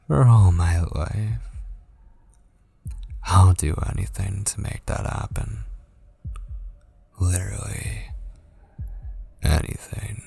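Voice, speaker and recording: A man playing a possessive, obsessed kidnapper in an intimate ASMR roleplay, coaxing with menacing tenderness in a breathy voice that drifts toward whispers. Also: fairly low pitch, warm tone, no room sound, full character voice. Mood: obsessive, pressing. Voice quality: breathy, husky. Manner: slow, coaxing, menacingly tender, lingering on phrases. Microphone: close studio microphone.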